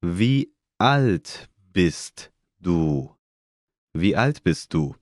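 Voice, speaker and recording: A deep-voiced man gives slow, deliberate pronunciation drills and repeats each word twice. His delivery is very unhurried, and the recording is dry and close. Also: very animated, no echo. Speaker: German pronunciation speaker.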